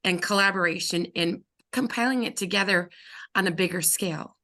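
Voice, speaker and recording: A woman with a hoarse, squeaky voice, speaking earnestly in a video call discussion. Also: fairly quick, sharp tone.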